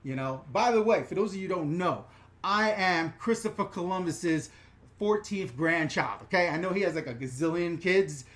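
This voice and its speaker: A middle-aged man with a New York accent hosts a casual live question-and-answer stream. He talks in an animated, rambling way, swearing freely and wandering off on tangents before circling back to the chat. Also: high-pitched, normal speed. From New York/New Jersey. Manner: animated, profane, rambling with digressions and self-corrections.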